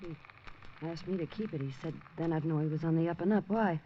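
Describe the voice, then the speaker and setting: A woman playing an anxious, quick-talking young woman. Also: sits low, lightly acted. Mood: worried. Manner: worried, pleading. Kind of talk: 1950s radio drama acting. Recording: dull old radio broadcast with faint hiss.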